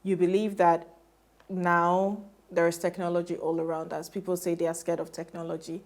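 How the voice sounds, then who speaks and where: A woman's voice: on the low side, flat delivery, moderate pace. A woman hosting a TV interview, affirming and chatty with her guest.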